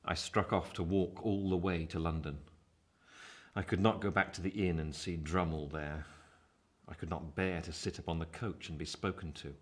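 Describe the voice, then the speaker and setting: A man playing a gentleman narrator who recounts his own past in a radio play, measured and literary. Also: sits low, close to an everyday voice.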